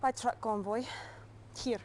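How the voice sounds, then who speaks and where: An actress playing a stern, urgent Soviet military aide in a video game cutscene. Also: female voice, a little high, fairly even intonation, unhurried, a little mellow, close to an everyday voice. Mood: businesslike. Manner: serious, clipped.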